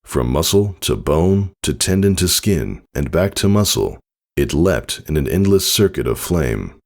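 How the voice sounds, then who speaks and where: A man's voice: very deep voice, expressive, measured pace, a little mellow. A man narrating a scripted story in a dramatic reading style. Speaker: fan fiction narrator.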